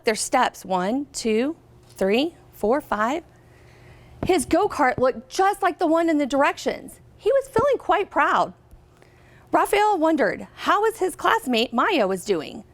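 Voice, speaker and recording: American woman, high pitch, swooping intonation, expressive storybook read-aloud, questions to young listeners. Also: takes it slowly. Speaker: guest reader, company representative. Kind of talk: reading a picture book aloud to children.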